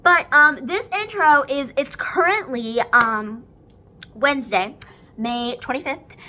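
A woman's voice: high-pitched, takes it slowly, sharp tone, a little hiss or hum. A teenage girl vlogging casually from her living room, rambling with lots of fillers into a phone camera. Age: teens. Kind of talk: casual vlog chat. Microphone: phone camera at arm's length.

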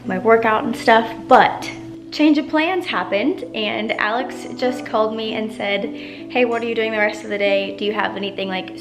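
A young woman chatting upbeat and casually to the camera in her home kitchen, often saying "like". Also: on the high side. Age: young adult. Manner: chatty and upbeat, frequent "like" fillers. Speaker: fitness vlogger. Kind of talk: casual vlog talk to camera. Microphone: camera at arm's length, then camera on a tripod.

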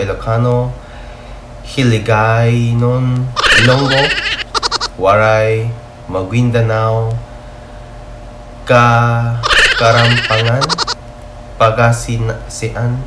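A young man with a Filipino English accent, chatting casually as he reacts to a video, loose and full of fillers, recorded on an earphone mic in a small room. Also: medium pitch. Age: young adult. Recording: roomy echo, faint hiss.

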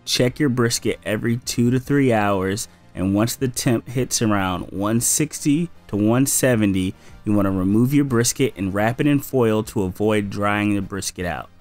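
A man giving casual, relaxed step-by-step cooking instructions as a voice-over. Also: fairly low pitch. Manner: casual, relaxed instruction with "you're gonna wanna". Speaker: family member demonstrating a recipe.

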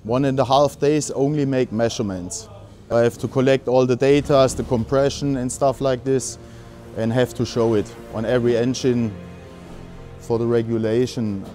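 A man in his forties leads a group through an indoor engine workshop, explaining the parts plainly and technically. Clear background music runs under his voice. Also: medium pitch, fairly even intonation, normal speed, warm tone. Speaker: engine builder.

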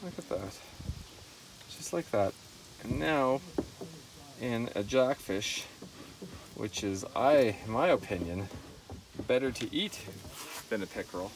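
A middle-aged man giving a relaxed, hands-on fish filleting demonstration at an outdoor cleaning table, talking as he works. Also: mid-range voice, takes it slowly, long pauses, far from the mic, background noise. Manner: relaxed, talks while working, repeats "see that". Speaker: fishing video host.

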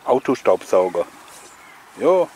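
A man's voice: on the low side, very animated, slow pace, mellow, slight background noise. A middle-aged man giving casual, nostalgic commentary in a chatty, jokey, reminiscing way, as host of a collectors' video series.

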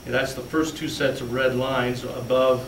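A man's voice: mid-range voice, little pitch movement, normal speed. A man explaining budget figures step by step to a school board in a large meeting room, recorded from a distance. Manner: plainspoken, walks listeners through handouts step by step. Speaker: school business official presenting budget. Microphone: far room camera. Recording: echoey room, distant mic, faint hum.

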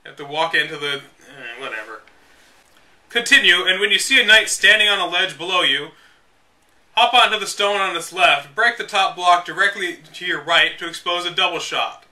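A man's voice: sits high, lively intonation, moderate pace, pushed and bright, far from the mic. A man hosting a read-aloud of a game guide, delivering it dramatically and breaking off for casual, sarcastic, exasperated asides. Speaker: host reading a game guide aloud with commentary.

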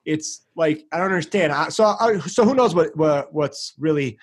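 Animated middle-aged man, Greek speaker. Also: on the high side, moderate pace. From born in Greece, lived in the United States. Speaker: podcast guest, marketing agency founder. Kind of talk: casual chat. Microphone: video call.

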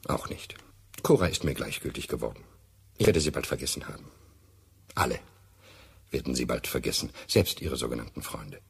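A bitter proper doctor, radio drama, super deep voice full of gravel, formal and deliberate. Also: male voice, expressive, full character voice. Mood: cold, insistent. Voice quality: gravelly, husky. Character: courteous, bitter, self-righteous American doctor, betrayed husband. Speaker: actor in a radio play. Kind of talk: German radio drama acting.

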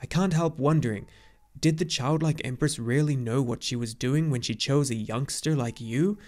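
A man's voice: mid-range voice, moderate pace, a little mellow, close to an everyday voice. A man voicing a very old, grave centaur in an audiobook story, with an emphatic, exclamatory manner. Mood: agitated, indignant. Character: very old agitated centaur messenger, grave and weary.

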